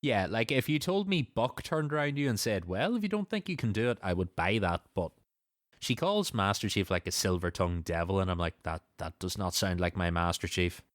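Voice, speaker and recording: A man's voice: deep voice, expressive, a little fast, no echo, clean studio sound. A man hosting a podcast, casually recapping and discussing a story with a co-host.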